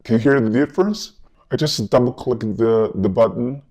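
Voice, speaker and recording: A man's voice: mid-range voice, normal speed, a little mellow, voice pushed down. A man talking as a powerful male figure through a voice changer, demonstrating a gadget casually. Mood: demonstrative.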